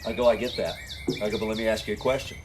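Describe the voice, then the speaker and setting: A man's voice: on the low side, fairly even intonation, racing, noisy background. A man coaching network marketers in a folksy, talk-to-camera style, acting out the conversations he has with people. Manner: folksy, acts out quoted conversations.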